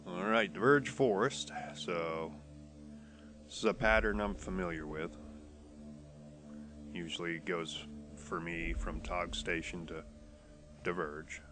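A man's voice: low voice, slow, long pauses, a bit bright. A man with a stuffy nose from a cold, casually commenting while playing a game, rambling and hesitant with many restarts. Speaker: gaming YouTuber.

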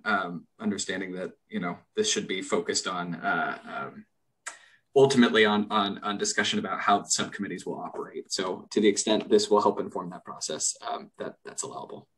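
Careful, lowish-voiced male adviser. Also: fairly bright tone. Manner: careful, formal, halting. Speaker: legal adviser to a board. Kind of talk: formal advice in a meeting. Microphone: video call.

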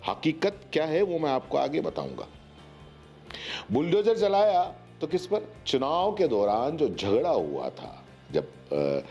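A middle-aged man from India delivers emphatic, opinionated commentary as a news host. His low, mellow voice swings widely in pitch as he poses rhetorical questions. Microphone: lapel mic.

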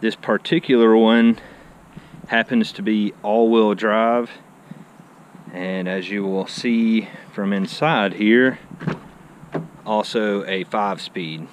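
A man talks casually and eagerly, voice sitting a little low. He walks around outdoors on a handheld action camera, with a faint wash of outdoor noise behind him. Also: fairly even intonation, slow, long pauses. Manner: enthusiastic, chatty, says "I mean" often. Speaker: car channel host. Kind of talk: casual walkaround vlog. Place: outdoor driveway beside a garage. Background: faint outdoor ambience.

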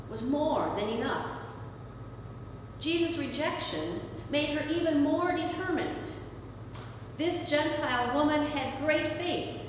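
A middle-aged woman pastor, preaching a reflective sermon from a lectern with deliberate pauses. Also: high-pitched, slight background noise. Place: church sanctuary, standing at a lectern beside the altar. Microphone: far camera, room sound. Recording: echoey church from far off.

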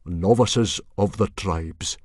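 A man voicing a grim middle-aged Viking chief who makes solemn pronouncements in a booming voice. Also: a little slow, darkish tone, no room sound, clean studio sound, soft background music. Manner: bellowing, grim and formal.

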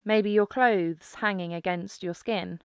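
A woman narrating a guided mindfulness meditation, giving calm, gentle instructions with pauses. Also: mid-range voice, clean tone, no room sound, studio quality.

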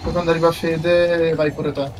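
A man's voice: flat delivery, moderate pace, background noise. A man in casual game voice chat, giving informal, sweary directions to his teammates. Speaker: gamer leading a crew. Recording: voice chat under loud game music. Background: loud game music, cannon booms.

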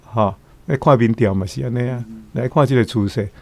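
A man's voice: medium pitch, brisk, vocal fry, mellow, no room sound. An older man with a Taiwanese accent, giving informal commentary and often tagging his phrases with little particles. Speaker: commentator, church elder.

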